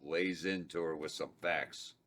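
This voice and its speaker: A man with a very low voice reads the stage directions at a table read. His delivery is plain and unhurried. He stumbles over a word here and there. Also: fairly even intonation, fairly bright tone.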